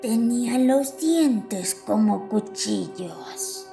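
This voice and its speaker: A woman playing a fierce, high-pitched bitter old woman. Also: lively intonation, extremely slow, gravelly, over music, exaggerated voice. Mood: ominous. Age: 70s. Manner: severe, accusing, dramatic. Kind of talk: dramatised horror audio fiction.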